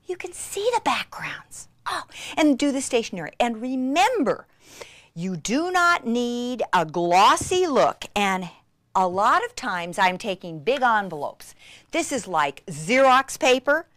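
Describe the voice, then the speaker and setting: A woman giving an excitable, gushing craft demonstration, with breathy exclamations and words repeated for emphasis. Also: on the high side, a little slow, dry recording. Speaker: craft instructor demonstrating techniques. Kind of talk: enthusiastic hands-on craft demonstration.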